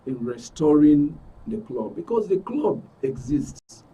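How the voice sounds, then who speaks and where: A man with a Nigerian accent speaking as a talk show guest with deliberate, hesitant phrasing. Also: on the high side, very animated, mellow, breathy edge. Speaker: studio guest. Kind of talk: interview commentary. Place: radio and TV studio. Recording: dull distant mic, background noise.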